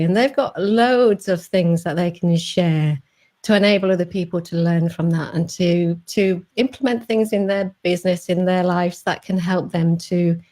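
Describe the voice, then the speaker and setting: A woman's voice: lowish voice, darkish tone. A woman with a Sheffield accent hosting a Facebook Live interview over a video call, chatty and full of fillers and restarts. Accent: Sheffield English. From England. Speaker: host.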